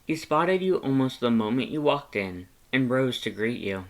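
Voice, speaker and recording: A man's voice: mid-range voice, expressive, moderate pace. A man reading scripted second-person fan fiction as a storyteller.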